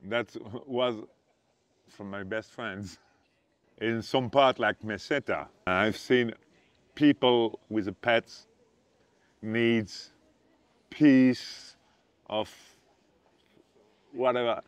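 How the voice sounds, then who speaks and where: A man from Belgium, a pilgrim, searching for words in slow, broken phrases outdoors on a lapel mic. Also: medium pitch, clean tone, no room sound. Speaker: interviewee, pilgrim. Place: outdoors sitting by a stone wall.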